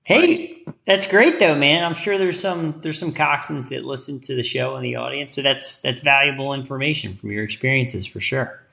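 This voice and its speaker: A man's voice: medium pitch, creaky, pushed and bright. Upbeat male podcast host. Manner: upbeat, quick affirmations. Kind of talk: casual interview questions.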